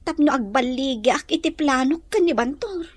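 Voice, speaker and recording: A woman's voice: high-pitched, expressive, moderate pace, dry recording. A woman playing a troubled, hesitant grown daughter with a baby in a radio drama, speaking plaintively. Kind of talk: Ilocano radio drama acting.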